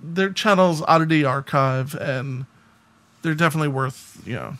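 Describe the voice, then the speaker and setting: This is an American man with a slightly high voice for a male speaker. He talks casually, as a co-host chatting on a podcast. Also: normal speed, a bit bright.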